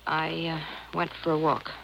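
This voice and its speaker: An actress playing a frightened, evasive murder suspect in a 1950s radio drama, stammering and defensive. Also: female voice, low-pitched, monotone, a little slow, creaky, a bit bright, slight background noise, full character voice. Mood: flustered, evasive. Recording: muffled cassette of old radio broadcast.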